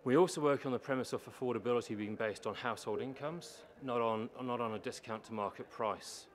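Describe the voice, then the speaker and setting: A man with a low voice presenting a prepared submission at a public meeting, explaining and repeating points for emphasis. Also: fairly quick. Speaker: housing organisation manager presenting to a council. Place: council meeting chamber. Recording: meeting room with faint background noise.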